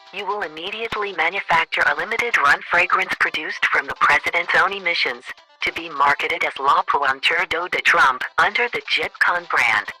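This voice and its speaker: Radio sketch official, female, acted by a man: piercingly bright, formal, deadpan, bureaucratic, over faint music. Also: fairly high pitch, exaggerated voice, poor audio. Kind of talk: scripted radio comedy sketch.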